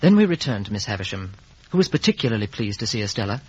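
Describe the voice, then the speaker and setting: An actor playing an earnest young gentleman who also narrates, in an old radio drama. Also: fairly low pitch, very animated, quick pace, breathy edge. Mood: reflective. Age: young adult. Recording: muffled vintage radio broadcast recording.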